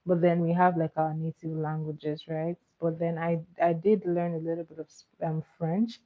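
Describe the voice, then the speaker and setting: A woman with Igbo as her chats easily with her livestream viewers in a lowish voice, giggling and doubling back on her words. The sound is dull and lo-fi, like a home stream with a basic mic. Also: flat delivery, moderate pace. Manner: chatty, giggly, repeats words. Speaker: livestream host. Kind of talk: casual chat with viewers.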